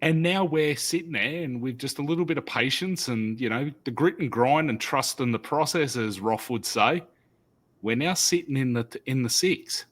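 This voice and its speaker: A man in his thirties with an Australian accent, co-hosting a casual podcast chat as a loud, animated storyteller over a desk mic on a video call. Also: medium pitch, normal speed. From Sydney, Australia. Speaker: podcast co-host. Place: home studio.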